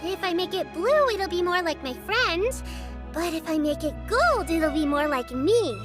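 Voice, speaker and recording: Eager curious little girl; high bright tone over game music. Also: expressive, normal speed.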